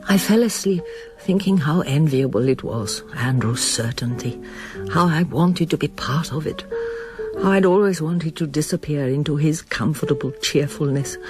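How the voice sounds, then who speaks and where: An actress playing an anguished middle-aged émigré pianist in a radio drama, intense and brooding. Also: female voice, low-pitched, lively intonation, moderate pace, music in the background. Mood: reflective narration. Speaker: lead actress and narrator. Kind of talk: radio drama acting and first-person narration.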